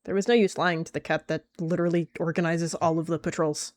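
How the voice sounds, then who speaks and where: A woman's voice: on the low side, fairly even intonation, quick pace. Woman narrating a roleplay story.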